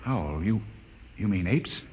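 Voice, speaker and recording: A gruff spaceship captain in an old radio drama, with a lowish voice and curt, impatient commands. The recording is dull and clearly noisy. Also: male voice, expressive, takes it slowly. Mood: exasperated. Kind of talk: 1950s radio drama acting.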